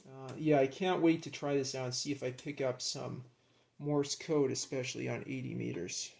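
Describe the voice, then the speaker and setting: A man casually narrating as he works with his hands, in a creaky voice, absorbed and admiring, dropping murmured asides. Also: mid-range voice, fairly even intonation, moderate pace, a little mellow, a little hiss or hum. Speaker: hobbyist demonstrating a radio rebuild.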